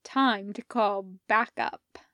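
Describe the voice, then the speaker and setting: A woman reading scripted fiction as a narrator, in a measured, deliberate storytelling manner. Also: mid-range voice, a bit bright, no room sound, studio quality. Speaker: podfic reader.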